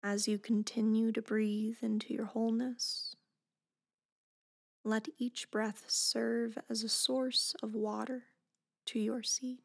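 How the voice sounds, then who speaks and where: A woman leads a guided meditation in a soft, breathy voice, giving gentle, unhurried instructions with phrase endings that fall close to a whisper. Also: fairly high pitch, flat delivery.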